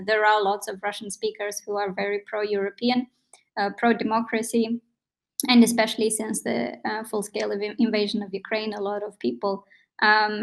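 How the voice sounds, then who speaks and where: A woman's voice: on the high side, gravelly. A woman whose first language is Romanian, answering as a panel guest in a hesitant way, with many ums and restarts. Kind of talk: thoughtful spoken answer.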